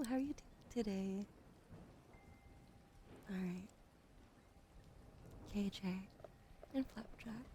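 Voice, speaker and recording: Young woman, soft ASMR whisper, allergy cough, apologetic, mid pitch, noisy background. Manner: apologetic, thanks followers by name. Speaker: ASMR streamer.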